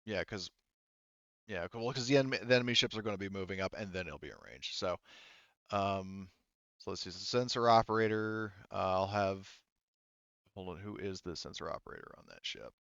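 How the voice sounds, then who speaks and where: Guy game mastering, lowish clean voice, kinda hesitant, dry slightly muffled mic. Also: lively intonation, brisk, gravelly, a bit bright, smooth, no room sound. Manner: hesitant, thinking aloud, explanatory. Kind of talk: casual tabletop game running.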